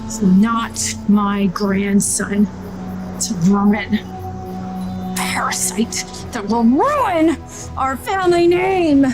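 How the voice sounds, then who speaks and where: A woman's voice: a little high, music in the background. An actress playing a cruel, snobbish older mother-in-law in an audio drama, haughty and venomous as she draws out her words. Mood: menacing. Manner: haughty, venomous, drawn-out delivery.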